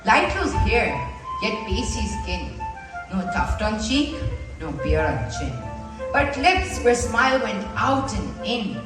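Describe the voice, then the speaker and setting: A theatrical storybook narrator , played by a female student, reciting verse with an animated, swinging pitch. She is heard at a distance in a reverberant hall over clear music. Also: takes it slowly. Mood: dramatic storytelling. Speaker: student narrator of school play. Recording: echoey school hall from far, music behind.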